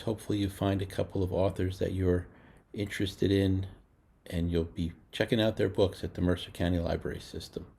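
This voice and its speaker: Man, deep voice, flat delivery, relaxed library host. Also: creaky. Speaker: library circulation staff host. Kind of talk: casual introduction.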